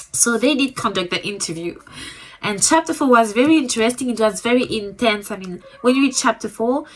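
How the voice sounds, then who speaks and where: A woman's voice: medium pitch, rough recording. French-accented woman reviewing a book. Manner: rambling, repeats words, frequent ums. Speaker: booktuber.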